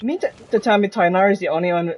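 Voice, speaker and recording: Live game streamer: medium register, relaxed conversational commentary, musing aloud. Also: medium pitch, rapid-fire. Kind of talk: casual live gameplay commentary.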